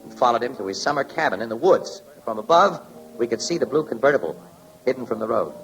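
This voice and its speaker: An actor playing an easygoing, clever newspaper photographer sleuth, genially explaining, 1940s radio style. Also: male voice, medium pitch, lively intonation, fast talker, soft background music, lightly acted, low-quality recording.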